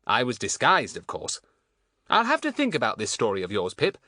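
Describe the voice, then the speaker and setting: A man's voice: mid-range voice, huge pitch swings, moderate pace, bright, forceful tone, no echo, clean studio sound, heavily acted. A man voicing a clever, boastful schoolboy in a children's audiobook, chatting with self-satisfied relish. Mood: confiding.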